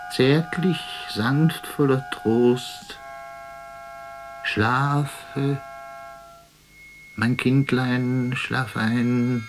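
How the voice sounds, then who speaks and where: A man voicing a tender, doting mother who gently soothes a little son to sleep in a radio drama, soft and drawn-out. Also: medium pitch, low-quality recording. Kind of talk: radio drama, crooning lullaby speech.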